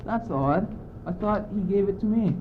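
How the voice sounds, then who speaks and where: A man's voice: sits high, animated, moderate pace. Teenage boy acting pedantic courtier, tinny noisy recording. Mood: puzzled, defensive. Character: argumentative, muddled but bossy male courtier, reasoning pedantically. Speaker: student actor. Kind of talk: amateur school radio play. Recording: muffled, tinny old amateur recording, noisy.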